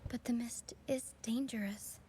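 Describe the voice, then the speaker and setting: A woman's voice: fairly high pitch, fairly even intonation, a little slow, lightly acted. An actress playing a brave, cheerful young girl circus performer in a video game, with an earnest, theatrical delivery. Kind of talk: scripted video game voice acting. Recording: game audio with loud music.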